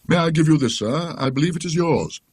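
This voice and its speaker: Man acting an anxious, husky British gentleman. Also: a little high, lively intonation, a little fast, no echo, pitched above the performer's own voice. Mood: nervously polite. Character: anxious, conscience-stricken, polite gentleman.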